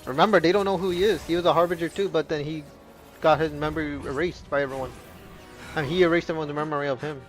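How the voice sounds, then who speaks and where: Guy streaming a game, excitable and jokey, voice on the high side, faint music under it. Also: fast, low-quality recording. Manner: excitable, playful, blurts reactions and sound effects. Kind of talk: casual gameplay livestream commentary.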